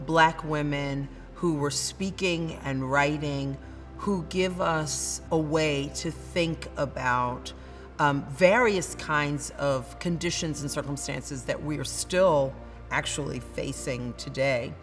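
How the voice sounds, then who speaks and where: A woman's voice: deep voice, slow pace, in a large hall, faint music behind. A woman literature professor with a Northern US accent, speaking earnestly and building her points through repeated phrases. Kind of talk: dramatic reading then reflective commentary.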